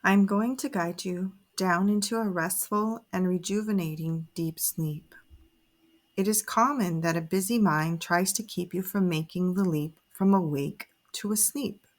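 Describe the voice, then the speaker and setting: A woman narrating a guided sleep meditation in a soothing, measured, instructive way. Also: on the low side. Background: soft music.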